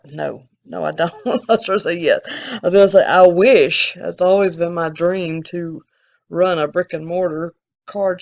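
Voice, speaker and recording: Casting note: Alabama drawl, gravelly edge, chatty self-recorded vlogger, dull lo-fi sound. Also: mid-range voice. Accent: Southern US, Alabama. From American South. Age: adult, collecting since the eighties. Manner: rambling, candid, frequent restarts. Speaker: sports card channel host. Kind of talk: casual Q&A to viewers.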